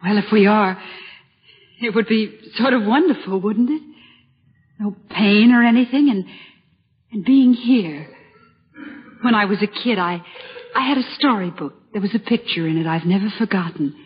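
Lady acting hesitant wistful young woman, old radio drama, husky voice, dull old recording. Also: mid-range voice, moderate pace, pauses often, natural voice. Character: wistful, polite young woman, softly wondering.

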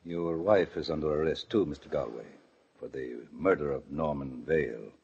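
An actor playing a stern policeman in an old-time radio drama, speaking quietly and officially. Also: rumbling low, moderate pace, gravelly, breathy edge, exaggerated voice. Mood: grave, official. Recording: dull old radio recording.

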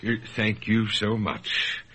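A man acting a fussy, forgetful, genial gentleman scholar with a courtly, dithering manner in a radio drama. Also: fairly low pitch, animated, slow pace, husky, full character voice. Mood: flustered, genial.

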